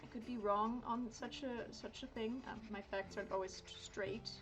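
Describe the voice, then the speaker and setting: Woman, 30s, from Miami, casual livestream chatter full of fillers, raised pitch, quiet music bed. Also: steady tone, normal speed. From Miami, United States. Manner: rambling, chatty, lots of fillers and self-corrections. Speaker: art streamer. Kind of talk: casual chat while drawing.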